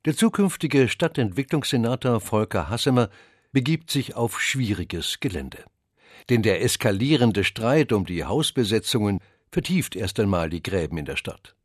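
Low-voiced male documentary narrator. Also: very animated, no room sound, studio quality. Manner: measured, informative. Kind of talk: scripted narration.

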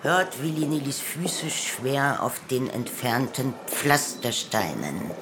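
An actress playing a measured, literary storyteller, narrating a radio play. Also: female voice, rumbling low, very animated, music in the background, exaggerated voice.

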